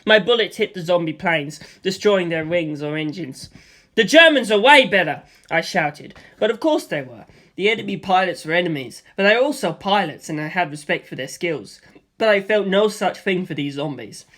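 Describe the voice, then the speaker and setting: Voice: mid-range voice, expressive, moderate pace, fairly bright tone. A narrator telling a first-person action story, voicing gunfire and explosions aloud. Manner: vivid storytelling with spoken sound effects for gunfire and explosions.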